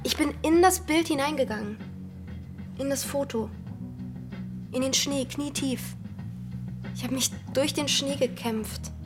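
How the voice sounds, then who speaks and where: A woman's voice: high-pitched, unhurried, pauses often, over music. A young actress playing a restless, brooding village girl in a radio drama, speaking in intense, fragmented phrases. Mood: dreamy, haunted.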